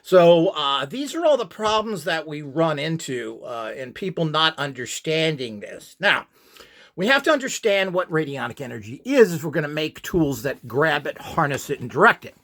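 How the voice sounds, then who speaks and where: Guy doing a rambly how-to talk, kinda high voice, really up and down, blunt and dismissive opinions. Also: very animated, normal speed, a bit bright. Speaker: instructor and host. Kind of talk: informal rambling instructional talk.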